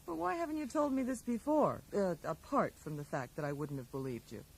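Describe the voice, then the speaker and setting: A woman's voice: mid-range voice, lively intonation, a little fast, vocal fry, a little mellow. An actress playing a wry, sceptical, practical woman in a radio drama, with a dry and teasing manner. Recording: mild hiss, 1980s radio drama.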